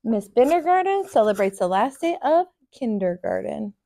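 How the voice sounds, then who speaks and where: An American woman in her thirties, a teacher reading a picture book aloud to children in an expressive, sing-song way. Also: a little high, moderate pace, creaky. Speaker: kindergarten teacher, storyteller. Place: small furnished room, indoors.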